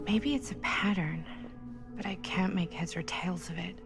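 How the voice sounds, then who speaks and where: A woman's voice: fairly low pitch, gravelly. A woman playing a wry young explorer in a video game, muttering dry jokes to herself. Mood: wry musing. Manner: slow, dry, sardonic self-talk. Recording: music behind.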